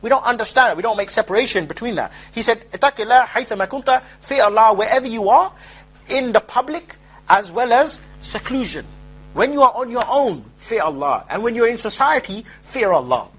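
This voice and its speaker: A man giving an informal street-style lecture as a preacher, rapid and emphatic with mocking asides. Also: very high-pitched, animated, fairly bright tone, breathy edge, poor audio.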